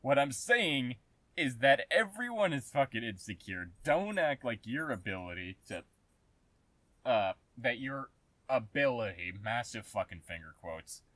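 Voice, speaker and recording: A man giving a casual, sarcastic commentary rant, exasperated and swearing heavily, with halting, emphatic repeats. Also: medium pitch, sing-song, fairly bright tone, no room sound. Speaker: host of a commentary channel.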